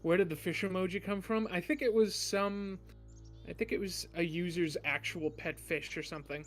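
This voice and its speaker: A man's voice: high voice, steady tone, moderate pace, faint music behind. A man bantering casually as a co-commentator on a gaming stream.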